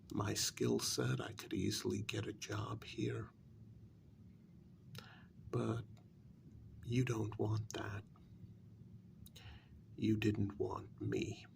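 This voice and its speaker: A man playing a bitter, sarcastic kidnapper in a soft-spoken ASMR role-play, halting and half-whispered, with a gravelly voice. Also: fairly low pitch, noisy background, exaggerated voice. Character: bitter, sarcastic male kidnapper confronting a demon.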